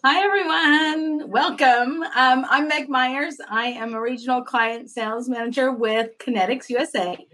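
A woman's voice: sits high, unhurried, hardly any pauses, sharp tone. A woman speaking as a panel guest, chatty and explaining step by step, at times correcting herself. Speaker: sales manager, panel guest. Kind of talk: online panel talk.

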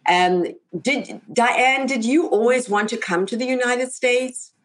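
A woman's voice: fairly high pitch, measured pace. Upbeat woman hosting an interview. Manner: upbeat, filler-heavy hosting. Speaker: host. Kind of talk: hosted webinar interview.

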